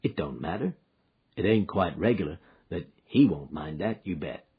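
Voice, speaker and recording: A tired frontier man murmurs in a low, husky drawl, soft and patient with the little one beside him, as a male reader plays him. The voice sits deep and rough, and the recording is slightly dull and lo-fi. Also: lively intonation, normal speed, heavily acted. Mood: coaxing. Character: weary frontier man, gentle with the child.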